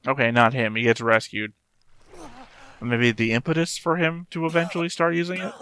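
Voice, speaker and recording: A man's voice: mid-range voice, level tone, normal speed, sharp tone, clean tone, no room sound. A man giving casual reaction commentary as the host of a show.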